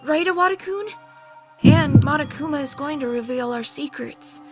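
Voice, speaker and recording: A woman voicing a timid, gentle teenage boy in a fan manga dub, hesitant and soft, with stammers. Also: sits high, normal speed, faint music behind, close to an everyday voice. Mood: timid, pleading.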